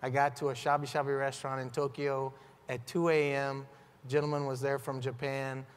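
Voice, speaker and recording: A man on a business panel telling an anecdote in a steady way. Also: medium pitch, moderate pace, smooth, long reverb tail.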